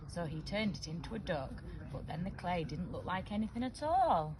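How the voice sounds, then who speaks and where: A woman reading a picture book aloud to young children in an expressive, animated way, acting out the dialogue. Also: lowish voice, normal speed, noisy background.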